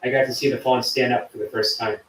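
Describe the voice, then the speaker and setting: A man's voice: medium pitch, level tone, quick pace, reverberant, distant mic, a little hiss or hum, rough recording. Chatty man reading his live chat. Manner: chatty, warm to viewers, reads chat aloud. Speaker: livestream host.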